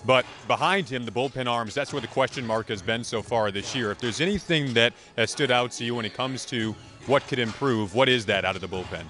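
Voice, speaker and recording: Fast-talking American male sports interviewer. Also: medium pitch, fairly bright tone. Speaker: sports show host, interviewer. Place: outdoors in a large open baseball stadium. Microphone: handheld microphone.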